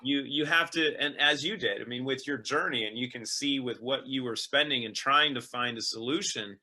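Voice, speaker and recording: A male host talks in a bright, forceful voice at an ordinary pitch. He explains ideas earnestly, padding sentences with fillers and rephrasings. His questions run long before he reaches the point. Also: normal speed. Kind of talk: interview questions and commentary.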